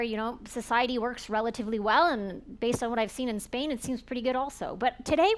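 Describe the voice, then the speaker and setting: Woman speaker, fairly high voice, earnest explainer at a conference talk. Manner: explanatory, earnest, addresses audience directly. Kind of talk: presentation talk.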